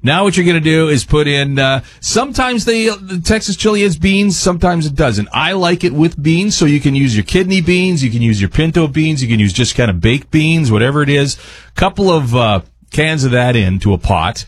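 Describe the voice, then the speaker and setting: A man's voice: on the high side, lively intonation, normal speed, dry recording. A man, a radio chef explaining a recipe in a folksy, informal, rambling way. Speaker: resident chef and radio host. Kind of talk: casual chat explaining a recipe.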